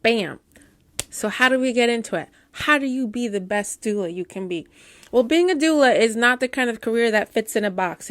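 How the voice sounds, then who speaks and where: A woman's voice: mid-range voice, dry recording. Candid woman doula who stutters. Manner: stutters, warm and candid with playful asides. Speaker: doula and course teacher. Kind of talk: casual advice talk to camera.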